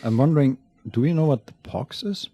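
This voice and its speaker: A man with a husky voice, co-hosting a game playthrough in a laid-back way, chatting and reading options aloud. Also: on the high side, expressive, a little fast, warm tone, soft background music. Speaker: let's play co-host.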